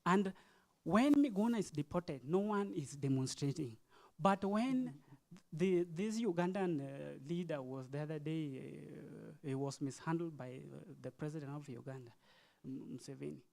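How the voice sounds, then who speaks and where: A man with a Kenyan accent giving an impromptu speech at a youth forum, halting and earnest, urging listeners with rhetorical questions. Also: on the high side, vocal fry, darkish tone. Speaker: forum participant.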